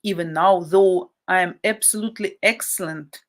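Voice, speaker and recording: A woman's voice: on the low side, normal speed. A woman from Ukraine, a native Russian speaker with a Ukrainian-Russian accent, hosting a live stream and talking bluntly and indignantly, often repeating herself for emphasis. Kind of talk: casual live stream chat.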